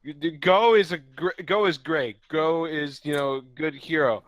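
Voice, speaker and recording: A man co-hosting a stream with casual banter, halting and incredulous, often swearing. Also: on the high side, no room sound. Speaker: co-host commenting on a reading.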